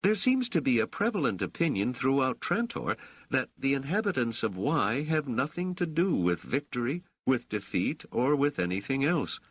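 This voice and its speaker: A man voicing a sharp, skeptical woman who argues in formal, precise sentences, in an audiobook story. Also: mid-range voice, very animated, normal speed, breathy edge, dry recording, pitched above the performer's own voice, low-quality recording. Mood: coolly challenging. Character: sharp, skeptical woman challenging her host.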